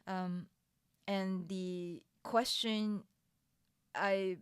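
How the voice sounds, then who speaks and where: A woman's voice: medium pitch, steady tone, smooth, no echo, clean studio sound. A woman whose first language is Mandarin, chatting candidly in English about her own experience, often restarting phrases. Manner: unhurried and candid, with frequent restarts and light laughs. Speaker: streamer sharing her startup funding experience. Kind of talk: casual chat.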